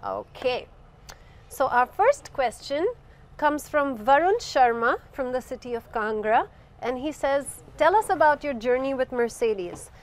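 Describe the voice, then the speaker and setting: Bubbly high-voiced woman host. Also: expressive, a little slow. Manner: bubbly, laughs often, reads out viewer questions. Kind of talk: upbeat hosted interview. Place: inside a parked car.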